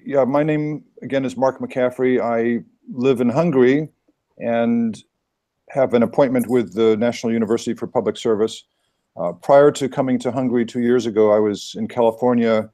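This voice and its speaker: A man's voice: mid-range voice, mellow. A man giving an earnest, explanatory presentation at an online meeting, thinking aloud as he goes, heard through a video call.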